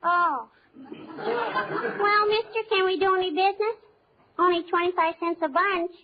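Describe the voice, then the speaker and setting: Little girl, very high voice, American, sly, cheeky, childish mispronunciations, 1940s radio comedy, dull muffled recording. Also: measured pace, a faint crowd. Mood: earnest, chatty. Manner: childish mispronunciations, teasing questioning hums.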